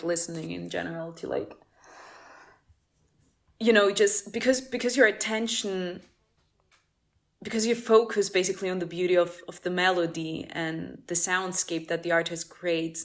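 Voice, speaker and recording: A young woman from Cyprus, a musician chatting in an interview, laughing often and restarting her sentences. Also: on the low side. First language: Greek. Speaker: musician guest. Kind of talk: casual interview chat.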